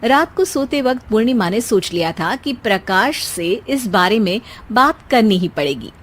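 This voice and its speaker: A woman's voice: medium pitch, animated, moderate pace, fairly bright tone. A woman storyteller reading a scripted domestic story as narrator.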